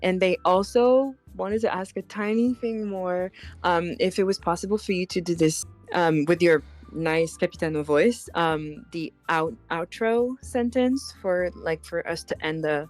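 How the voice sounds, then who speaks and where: A woman's voice: on the low side, slow pace, a little mellow, soft background music. A woman streamer interpreting for a guest, chatty and a bit nervous, with many fillers and restarts. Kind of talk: casual live stream chat and interpreting.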